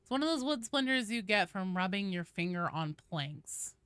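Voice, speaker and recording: A woman's voice: medium pitch, animated, normal speed, fairly bright tone, clean tone, no echo. A woman hosting a game stream, chatting casually and reading out viewer comments in informal, slangy language.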